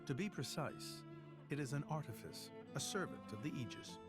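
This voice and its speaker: An actor playing a solemn, courteous old ruler in a game cutscene, speaking formally and with measured dignity. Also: male voice, mid-range voice, lively intonation, lightly acted. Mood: grave, explanatory. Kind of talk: dramatic game cutscene acting. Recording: orchestral music behind the voice.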